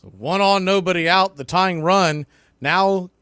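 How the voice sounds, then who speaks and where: A man calling baseball play-by-play live, in clipped pitch-by-pitch phrases. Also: measured pace, bright, forceful tone, clean tone, dry recording, studio quality. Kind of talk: live sports commentary.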